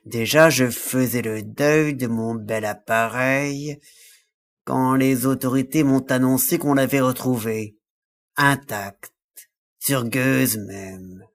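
A man acting a suave, courteous and evasive count in an audio drama, speaking French in a formal, measured way. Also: medium pitch, fairly even intonation. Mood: coolly regretful.

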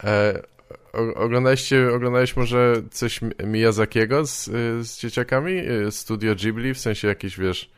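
A man hosting a casual conversation, speaking in a halting, tentative way. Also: medium pitch, clear, resonant tone, no echo, studio quality. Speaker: podcast host, interviewer.

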